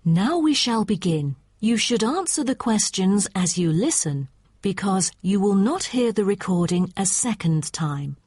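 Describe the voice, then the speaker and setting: A woman reading scripted test instructions as an exam narrator in a formal, deliberate manner. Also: medium pitch, a little mellow, breathy edge, dry recording, studio quality.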